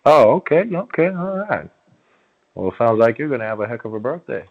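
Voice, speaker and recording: A man on a phone call, talking in a coaxing, agreeable sales manner with hesitant pauses. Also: medium pitch, sing-song. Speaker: phone caller pitching a sweepstakes prize. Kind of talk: conversational phone sales talk. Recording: muffled, narrow-band phone call.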